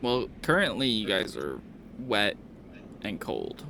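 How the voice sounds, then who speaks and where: Slow-talking, gravelly male game master. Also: lowish voice, huge pitch swings, sharp tone, slight background noise. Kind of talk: casual tabletop game narration.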